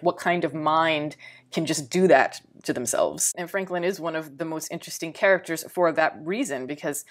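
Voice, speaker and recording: A woman with a Texan accent reviewing a film in a casual, rambling way, thinking aloud with fillers and repeated words. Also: low-pitched. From Texas.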